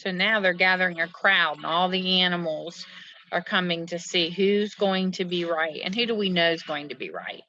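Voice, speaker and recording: A woman's voice: fairly low pitch, normal speed, sharp tone, dull audio, a little hiss or hum. A woman leading a read-aloud lesson like a teacher, explaining the story and prompting young listeners with questions. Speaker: teacher reading a picture book aloud.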